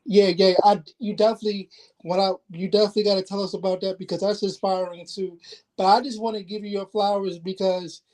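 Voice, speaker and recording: A man co-hosting a casual video call chat, talking in a warm, encouraging way and often repeating words as he goes. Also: high-pitched, flat delivery, quick pace, low-quality recording. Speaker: co-host.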